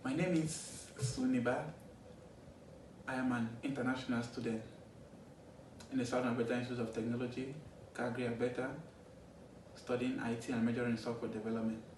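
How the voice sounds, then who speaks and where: A man studying software development, giving a measured, formally worded account. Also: medium pitch, level tone, a little hiss or hum. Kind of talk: prepared personal statement. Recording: roomy, distant mic with music.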